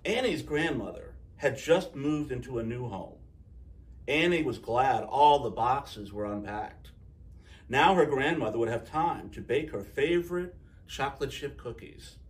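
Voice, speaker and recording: A man reading a picture book aloud to children in an expressive storyteller's style, acting out the dialogue. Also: mid-range voice, unhurried, far from the mic.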